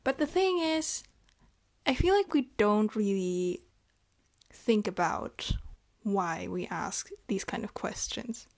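Young woman vlogger from Germany, unhurried and reflective, pausing to find her words. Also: mid-range voice, darkish tone. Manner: thoughtful, hesitant, halting phrasing. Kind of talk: reflective personal monologue.

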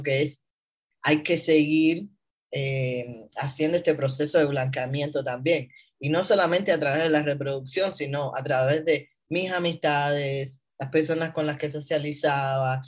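A man in his thirties with a Cuban accent, a guest on a radio interview, speaking reflectively with many pauses and fillers while he searches for words. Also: fairly high pitch. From Cuba. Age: 30s. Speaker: guest, historian.

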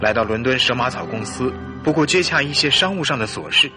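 An actor playing a suspicious, wounded and hot-tempered husband in an old-fashioned radio drama, speaking dramatically. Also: male voice, mid-range voice, expressive, fairly quick, music in the background, lightly acted. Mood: dejected, bitter. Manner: forceful, accusing, dramatic.

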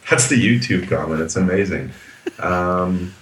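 A man with a very low voice and a bright, forceful tone co-hosts a casual movie podcast. He retells scenes with obvious relish and an animated delivery. A faint noise sits under his voice. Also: measured pace, rough recording. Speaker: podcast co-host. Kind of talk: casual chat reviewing movies.

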